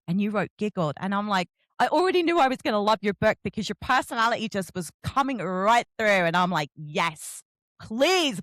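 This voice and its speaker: A woman hosting a casual book interview, effusive and expressive as she chats. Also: medium pitch, no room sound. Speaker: interviewer, book show host. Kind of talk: casual enthusiastic interview chat.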